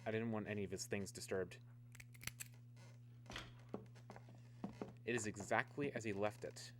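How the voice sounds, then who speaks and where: Casting: male actor as brisk, evasive army major, quick delivery, radio drama, humming noisy background. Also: lowish voice, steady tone, lightly acted. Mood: guarded, matter-of-fact. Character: guarded, methodical military security major questioning a visitor. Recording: clear background noise with hum.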